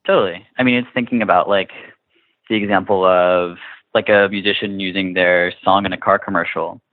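A man's voice: low voice, steady tone, brisk. A man in casual interview conversation, talking informally and often acting out imagined quotes to make his point. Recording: narrow phone-like line with room echo.

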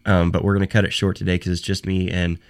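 A young man hosting a solo sports talk podcast, hoarse from a cold and losing his voice, talking in halting bursts with frequent restarts and repeated words. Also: sits low, little pitch movement. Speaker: podcast host.

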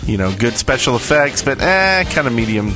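A man's voice: medium pitch, sing-song, normal speed, over music, low-quality recording. A man co-hosting a gaming podcast in casual banter, with a joking, mock-serious manner. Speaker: podcast co-host.

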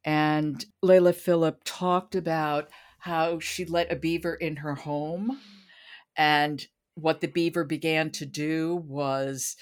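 A woman's voice: low voice, steady tone, takes it slowly. A woman hosting a podcast, chatting casually about books. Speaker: podcast host. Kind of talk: casual conversational book discussion.

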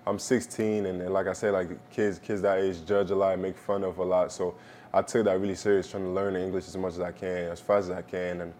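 A young man from Belgium whose first language is French, answering interview questions in a relaxed, halting way with many pauses. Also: low-pitched, slight background noise. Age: young adult. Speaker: basketball prospect, interviewee.

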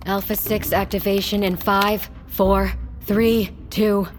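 A woman's voice: medium pitch, little pitch movement, takes it slowly, background noise, lightly acted. A woman playing an insistent, businesslike lab scientist in a science fiction audio drama, direct and naturalistic. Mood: brisk, procedural. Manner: direct, questioning.

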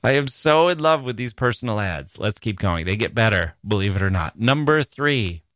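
A man's voice: fairly low pitch, lively intonation, normal speed, a bit bright, dry recording, studio quality. A man hosting a podcast, giving wry, amused commentary as he reads out personal ads and muses aloud in rhetorical questions. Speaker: podcast host. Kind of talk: humorous solo commentary reading out personal ads.

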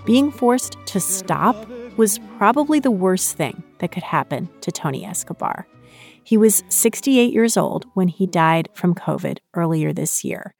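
A woman's voice: on the low side, lively intonation, measured pace, vocal fry, a little mellow, soft background music. A woman hosting a radio news show, reading scripted narration. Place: dry broadcast studio.

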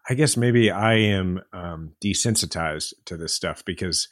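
Deep-voiced podcast co-host, dry sound. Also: male voice, a little slow, a bit bright, no echo. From middle of the US. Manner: reflective, hesitant, many fillers. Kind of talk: casual discussion.

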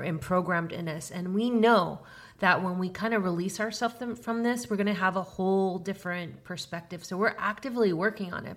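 A woman's voice: medium pitch, fairly bright tone. A woman in her fifties hosting a podcast, talking in a chatty, agreeable way with many fillers. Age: 50s. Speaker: podcast host. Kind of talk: casual chat.

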